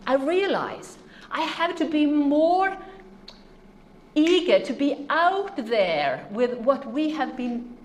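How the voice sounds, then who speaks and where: Slow-spoken Norwegian woman lecturing. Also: high voice, big pitch swings, slight background noise. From Norway. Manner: earnest, emphatic, asks the audience rhetorical questions. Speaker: speaker. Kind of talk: conference lecture.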